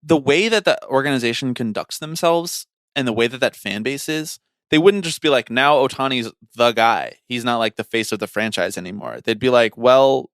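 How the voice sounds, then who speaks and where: A man's voice: medium pitch, moderate pace, a bit bright, clean tone, no room sound, studio quality. A man co-hosting a podcast in casual sports chat, blunt and opinionated, prone to swearing. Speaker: podcast co-host.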